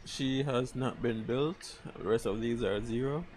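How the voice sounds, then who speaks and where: A man's voice: mid-range voice, unhurried. A man live-streaming a game, chatting casually and thinking aloud in an informal way. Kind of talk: casual chat while gaming. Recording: clearly noisy stream microphone.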